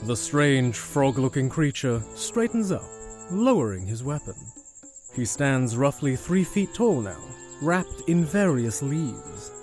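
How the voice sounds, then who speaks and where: A man's voice: medium pitch, sing-song, slow, a little mellow. Male storyteller with music underneath. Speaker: narrator. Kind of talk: scripted story narration.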